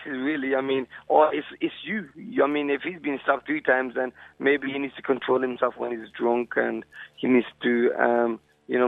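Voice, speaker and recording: A man in his twenties, a professional boxer, answering interview questions over the phone in a halting way, often saying "you know" and "I mean.". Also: medium pitch, creaky, no room sound. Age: 20s. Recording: tinny dry phone line.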